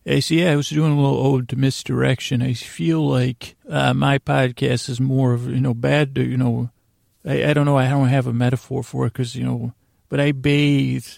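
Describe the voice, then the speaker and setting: A man hosting a solo bedtime podcast in a meandering, halting monologue, often interrupting himself and drifting into asides. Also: medium pitch, darkish tone, dry recording, clean studio sound. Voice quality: gravelly, creaky. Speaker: podcast host.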